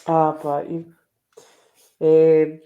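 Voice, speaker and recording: A man's voice: on the high side, monotone, very slow, phone-quality sound. A young man with a European Portuguese accent, a quiz contestant who chats, stumbles and restarts while trying to recall names. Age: young adult. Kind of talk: casual quiz chat among friends.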